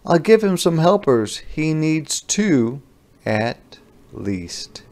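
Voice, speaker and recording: Very slow-reading man, gravelly storyteller. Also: on the high side, very animated, darkish tone, slight background noise. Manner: deliberate, dramatic emphasis with drawn-out line endings. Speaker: storybook reader. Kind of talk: expressive scripted children's read-aloud.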